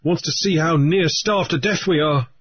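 A man voicing a blunt, suspicious male sailor who talks gruffly and fast. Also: a little high, dull audio, voice pushed up. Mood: scornful.

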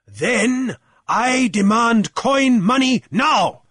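Story objector voiced by a male narrator: flustered yet stubborn, halting delivery, high-pitched and slow. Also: very animated, fairly bright tone, slightly rough, no echo, clean studio sound, exaggerated voice. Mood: loud, demanding. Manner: halting, spluttering, slow and insistent. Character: flustered, halting, dogged man challenging the chancellor.

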